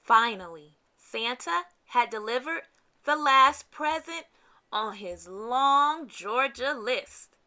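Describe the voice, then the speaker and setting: Bright, high-voiced woman reading a storybook. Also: slow. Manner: expressive, dramatic emphasis. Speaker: children's storybook reader. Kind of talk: read-aloud scripted narration for kids.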